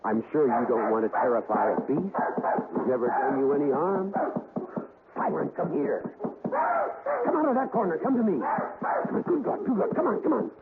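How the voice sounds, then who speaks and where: An actor playing an earnest male ghost hunter in a 1970s radio drama, speaking in a measured, persuasive, soothing way. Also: a little high, animated. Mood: urgent, soothing. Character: earnest male ghost hunter who talks gently to spirits. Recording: noisy, thin, phone-like old radio recording.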